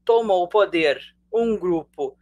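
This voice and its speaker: A woman's voice: on the low side, animated, slow pace, a little mellow. A woman with a Brazilian Portuguese accent teaching a live online sociology class, chatty and jokey, talking straight to her students.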